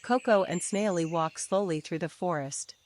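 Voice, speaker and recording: Lowish-voiced woman narrating a bedtime story. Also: normal speed, darkish tone, slight background noise. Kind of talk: scripted children's story narration. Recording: faint birdsong and nature ambience. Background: birdsong, crickets, forest ambience.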